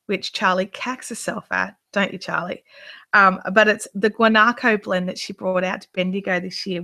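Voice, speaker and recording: A chatty woman in her thirties shows off yarn on a casual live vlog. Her middle-pitched voice rambles along with ums, restarts and fond exclamations. She sits on a couch in her living room, close to the camera. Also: gravelly, fairly bright tone. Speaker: yarn dyer and vlogger. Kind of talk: casual live chat show-and-tell.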